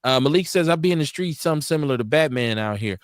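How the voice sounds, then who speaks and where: A man in his forties, hosting a casual podcast chat in an animated, emphatic way, often restarting his sentences. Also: on the high side, fast talker, dry recording, studio quality. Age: 40s. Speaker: podcast host.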